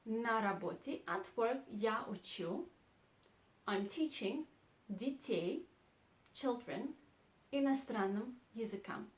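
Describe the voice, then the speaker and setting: A woman teaching a beginner language lesson, repeating short phrases slowly and clearly. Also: a little high, lively intonation, reverberant, low-quality recording. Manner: slow, clear model phrases, repeated twice. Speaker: Russian teacher.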